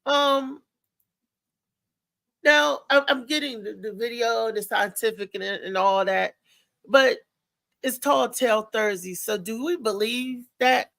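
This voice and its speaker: A woman hosting casual live talk, speaking haltingly in short phrases. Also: on the high side, gravelly, a bit bright. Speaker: show host.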